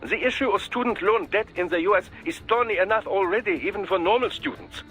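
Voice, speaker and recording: An actor playing a genial, fussy, erudite male scientist in a video game, speaking in a formal, wordy, over-polite and precise way with a husky voice. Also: high-pitched, lively intonation, a little fast, fairly bright tone, faint music behind. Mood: enthusiastic, lecturing.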